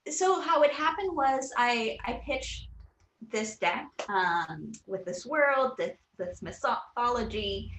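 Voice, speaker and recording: A woman's voice: mid-range voice, a bit bright, slight background noise. Woman from Puerto Rico, interview guest, earnest, filler-heavy, roomy echo, video call. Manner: earnest, many fillers and restarts. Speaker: animation creator interviewee. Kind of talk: casual interview.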